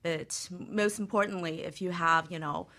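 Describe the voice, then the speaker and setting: A woman guest expert explaining conversationally in a halting way, padding her sentences with filler phrases. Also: on the low side, steady tone, bright, forceful tone. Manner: halting, leans heavily on 'you know'. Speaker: guest expert, feng shui consultant.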